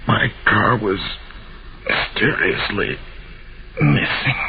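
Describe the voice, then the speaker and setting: An actor playing a gravely injured man on his deathbed, gasping out broken phrases in an old-time radio drama. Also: on the low side, lively intonation, slow pace, exaggerated voice. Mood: weak, pleading. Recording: noisy muffled vintage radio recording.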